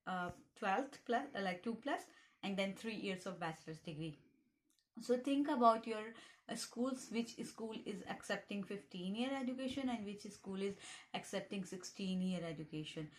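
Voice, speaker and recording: A woman's voice: lowish voice, slight background noise. A woman from Delhi with an Indian English accent, a native Hindi speaker, giving earnest, repeated advice as a vlogger. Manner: earnest and advising, repeats pleas to prepare. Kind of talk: casual advice talk to camera.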